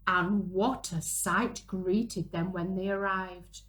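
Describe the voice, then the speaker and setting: A woman, reading a picture book aloud to young children in an animated, dramatic way. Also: on the low side, a little hiss or hum. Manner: animated, dramatic gasps and drawn-out exclamations. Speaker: children's storyteller, early years presenter. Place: small room at home, indoors.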